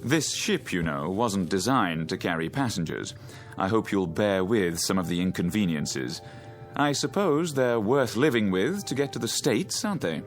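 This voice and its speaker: Ship captain in a radio play, low voice, lively intonation, polite and in charge. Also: male voice, faint music behind. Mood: courteous. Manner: polite, measured, formal. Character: courteous, steady ship's captain, a man in authority. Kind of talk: radio drama acting.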